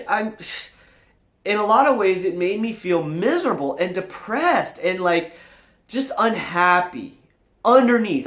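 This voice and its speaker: A man with a fairly high voice speaks in an earnest, confessional way, as if levelling with his viewers. His pitch moves around a lot as he stresses his points, and the room adds noticeable echo. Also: fairly bright tone. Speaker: vlog host. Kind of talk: candid motivational vlog talk to camera.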